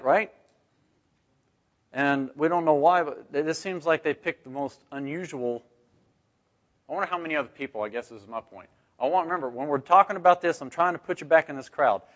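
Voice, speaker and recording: Higher-pitched man teaching Bible study. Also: fairly bright tone. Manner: conversational, rhetorical questions, asks "right?". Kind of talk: informal scripture teaching to a group. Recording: dull, muffled lo-fi recording.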